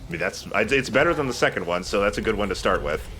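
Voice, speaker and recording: Bright-voiced man, lively game commentator. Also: fairly low pitch, fast talker, slight background noise. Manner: irreverent, profane. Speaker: let's play host. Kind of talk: casual joking game commentary.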